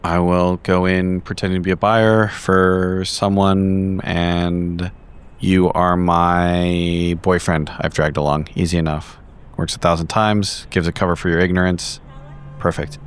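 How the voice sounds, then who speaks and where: A man improvising as a player in a tabletop role-playing game, speaking casually and haltingly. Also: sits low, flat delivery, smooth. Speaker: player in an actual-play podcast.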